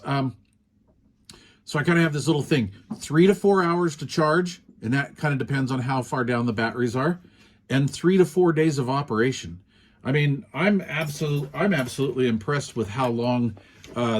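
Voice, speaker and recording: A middle-aged man reviewing a small two-way radio in a relaxed, rambling way, with lots of fillers. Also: a little high. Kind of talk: casual product review.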